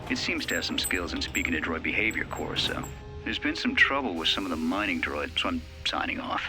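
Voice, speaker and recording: An actor playing a matter-of-fact mining facility worker dictating an audio log in a video game. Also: male voice, deep voice, lively intonation, brisk, over music. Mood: businesslike.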